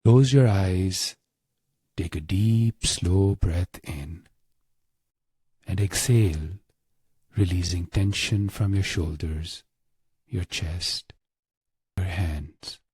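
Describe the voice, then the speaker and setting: A man narrating a guided meditation in a hushed, measured way with long pauses. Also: low-pitched, husky, dry recording, studio quality.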